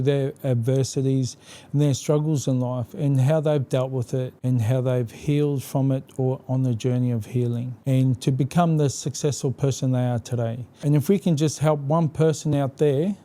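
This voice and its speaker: Male podcast co-host, mid pitch, extremely soft-edged dark tone, conversational delivery. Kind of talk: podcast introduction and conversational interviewing.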